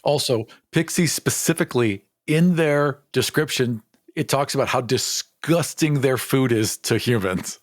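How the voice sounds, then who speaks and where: A man's voice: medium pitch, a little slow, clean studio sound. Casting: male podcast co-host, informal and conversational, reasons things out aloud, very little room echo. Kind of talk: casual chat, answering listener questions.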